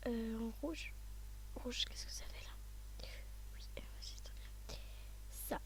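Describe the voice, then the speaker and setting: A hoarse woman talking softly and often half-whispering, thinking aloud with many hesitations as she walks viewers through styling an outfit. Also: a little high, steady tone, slow pace. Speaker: vlogger showing game outfits. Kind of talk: casual screen-recording commentary.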